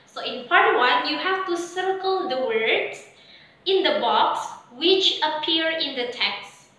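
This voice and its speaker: Young female English teacher, bright high voice, slow instructional delivery in a reverberant classroom. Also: lively intonation. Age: young adult. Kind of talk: instructing a pupil to camera. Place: bare indoor classroom before a whiteboard. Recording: very echoey room.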